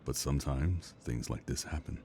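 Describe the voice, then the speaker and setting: A man acting a devoted, steady partner and father in an intimate audio drama, calm and reassuring. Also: extremely low pitch, moderate pace, a little hiss or hum.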